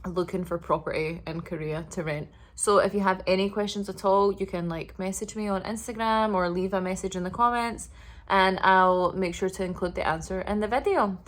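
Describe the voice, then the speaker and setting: Woman talking in a flat monotone. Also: medium pitch, normal speed. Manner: relaxed, filler-heavy talk. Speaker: vlogger. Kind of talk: casual apartment tour vlog. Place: indoors in a small apartment.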